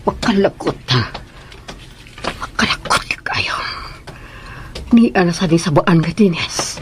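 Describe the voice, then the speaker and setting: A woman's voice: fairly low pitch, lively intonation, unhurried, a little hiss or hum. An actress playing a curt, impatient mother and mistress of the house in a radio drama, brusque and snappish. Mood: stern, annoyed.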